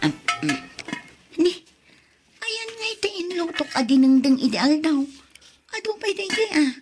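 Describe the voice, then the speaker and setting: A woman's voice: high voice, sing-song, measured pace, over music. A woman playing a meek, put-upon young wife in a radio drama, hesitant and plaintive. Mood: hungry, hesitant. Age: young adult.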